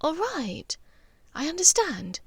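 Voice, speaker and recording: A woman playing an amused, haughty upper-class witch mother with a crisp, teasing, exclamatory delivery. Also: sits high, big pitch swings, takes it slowly, no echo, pitched above the performer's own voice, heavily acted. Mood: astonished, sharp.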